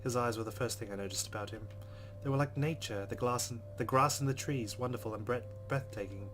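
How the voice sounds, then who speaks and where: A man's voice: medium pitch, a little fast, a bit bright, soft background music, rough recording. A man playing a visual novel, reading its narration and adding wry, amused asides. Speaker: let's play gamer. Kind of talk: reading game text and reacting.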